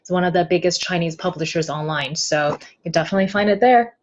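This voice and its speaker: A woman translator being interviewed on a podcast, easygoing and quick to laugh. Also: fairly low pitch, dull audio, slight background noise. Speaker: novel translator, podcast guest. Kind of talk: casual interview chat.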